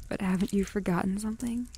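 A woman acting a vengeful, dying witch in a retro radio drama, deliberate, breathy and mocking. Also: mid-range voice, a little mellow, close to an everyday voice.

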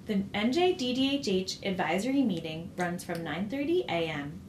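A woman working as a voice-over interpreter, reading a public service announcement clearly and at an even pace. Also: mid-range voice, moderate pace. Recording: echoey room with faint ambient hiss.

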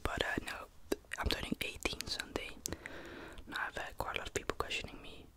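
A teenage man, whispering a rambling, casual chat into a close studio mic in a small bedroom. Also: fairly quick. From Netherlands. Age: teens. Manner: casual, rambling, with many fillers. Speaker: ASMR YouTuber. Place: small bedroom with a sloped ceiling. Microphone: large studio mic close on the desk.

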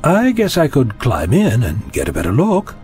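An actor playing an eccentric, curious, self-assured adventurer in an audio drama, musing and wry. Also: male voice, medium pitch, huge pitch swings, moderate pace, darkish tone, soft background music.

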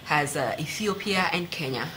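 A woman's voice: sits low, expressive, moderate pace, fairly bright tone. A woman in her thirties with a Ghanaian accent, hosting a televised sports interview as presenter. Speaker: TV sports show host. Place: TV studio, seated at desk. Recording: clearly noisy studio recording.

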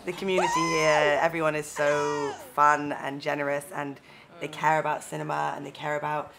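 A woman's voice: low voice, little pitch movement, normal speed, creaky, noisy background. A woman, a film director giving thoughtful, earnest interview answers on a lapel mic. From London, England. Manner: thoughtful, earnest, with small restarts. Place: seated indoors in a room with a leather sofa.

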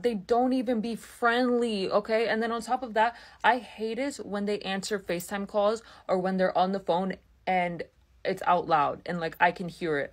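A young woman ranting bluntly about nail techs in a clip, swearing as she goes. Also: medium pitch. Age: young adult. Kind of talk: ranting video.

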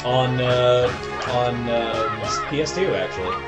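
A man's voice: mid-range voice, lively intonation, takes it slowly. A man co-hosting a casual gameplay commentary, explaining the game in a matter-of-fact way. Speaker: gaming show co-host. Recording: game music behind the voice. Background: fighting game music.

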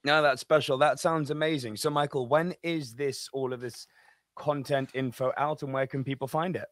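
Man, thirties, casual host, middle pitch, dry close studio mic, small room. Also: steady tone, moderate pace, no echo. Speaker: vlog host. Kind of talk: casual hosting, interviewing. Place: small plain room, indoors. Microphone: studio microphone on a boom arm, video call.

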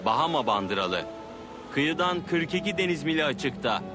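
An actor playing a dutiful environmental patrol pilot in a cartoon dub, reporting in a matter-of-fact way. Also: male voice, fairly high pitch, very animated, normal speed, music in the background. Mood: resolute, businesslike. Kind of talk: animated educational cartoon dub.

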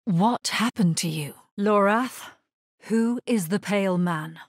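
A woman playing a grave, resolute necromancer hero in a video game, husky-voiced, speaking in a measured, solemn and terse way. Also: medium pitch, no room sound, clean studio sound. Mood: grave, questioning. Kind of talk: scripted video game character lines.

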